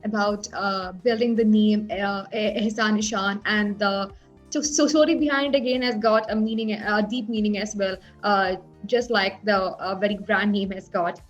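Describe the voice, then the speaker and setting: A woman's voice: mid-range voice, fairly even intonation, normal speed, lo-fi sound, faint music behind. A woman host brought up in the UAE, asking questions hesitantly with many fillers. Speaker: interviewer, host. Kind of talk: interview questions.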